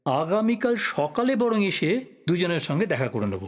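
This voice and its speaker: An actor playing an earnest, persistent investigative journalist in an audio drama, polite in manner. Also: male voice, on the high side, very animated, moderate pace.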